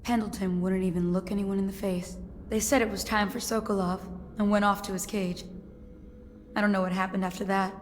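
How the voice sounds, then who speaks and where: A woman acts a frightened, loyal serving girl in a game. Her mid-pitched voice is earnest and shaken, ringing with reverb over faint noise. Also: flat delivery, brisk, natural voice. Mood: shaken, sombre. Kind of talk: dramatic video game acting.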